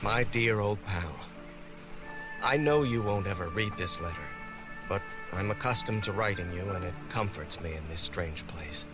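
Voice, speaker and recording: A man's voice: low voice, normal speed, close to an everyday voice. An actor playing an earnest, troubled explorer husband, reading his letter to his wife as a solemn, tender game voice-over. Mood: wistful, tender. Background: music.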